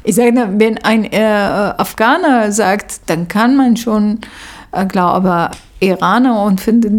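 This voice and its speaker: A woman's voice: medium pitch, darkish tone. A woman whose first language is Arabic, working as a social worker, answering interview questions in a hesitant way with many fillers and restarts.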